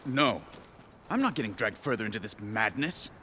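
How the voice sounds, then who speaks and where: An actor playing a gruff, skeptical warrior in a video game, blunt and dryly grumbling. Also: male voice, fairly low pitch, expressive, normal speed, pushed and bright, a little hiss or hum. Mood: indignant. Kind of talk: dramatic video game acting.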